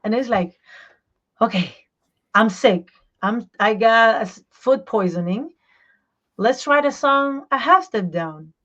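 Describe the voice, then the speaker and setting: A woman voice teacher and singer, talking in a casual interview, animated and explaining with examples, sometimes searching for her words in English. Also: a little high, slow, pauses often, lo-fi sound. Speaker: voice teacher and singer, podcast guest.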